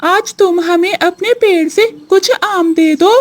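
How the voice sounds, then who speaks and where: Woman as a squeaky, eager little bird. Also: slow. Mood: pleading.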